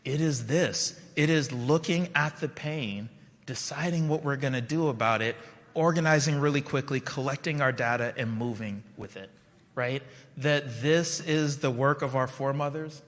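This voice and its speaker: A man with a bright, forceful voice delivers a keynote from a stage. His talk is conversational and story-driven, with frequent asides to the crowd. The sound carries a long reverb from a large hall. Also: mid-range voice, normal speed. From Liberia. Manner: conversational, storytelling, checks in with the audience. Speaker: keynote speaker. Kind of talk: conference keynote speech.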